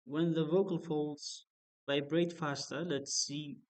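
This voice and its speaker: Slow, hesitant male lecturer. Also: on the high side, flat delivery. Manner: hesitant, many fillers and restarts. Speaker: university lecturer. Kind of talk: online lecture.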